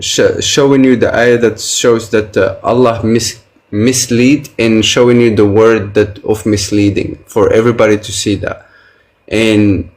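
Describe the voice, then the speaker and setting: A man debating online, pressing his point with repeated questions and frequent hesitant fillers. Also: on the low side.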